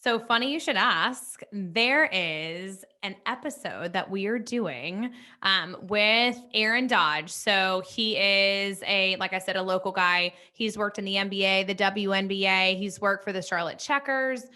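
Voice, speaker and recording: Bright, chatty woman in her early thirties. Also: medium pitch, a little slow. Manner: chatty, enthusiastic. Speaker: co-host of a sports podcast. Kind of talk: casual sports chat.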